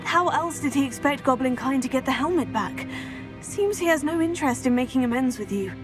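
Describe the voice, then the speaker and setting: An actress playing a calm, inquisitive teenage witch in a video game, direct and questioning. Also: sits high, brisk, music in the background. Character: composed, inquisitive teenage witch student heroine. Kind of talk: video game cutscene acting.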